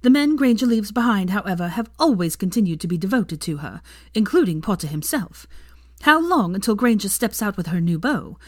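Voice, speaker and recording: A woman's voice: medium pitch, very animated, a little fast, no echo, clean studio sound. A woman voicing a gossipy, snide tabloid journalist reading out her exposé with mock concern and insinuation. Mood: speculative, catty. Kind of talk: scripted newspaper column read aloud.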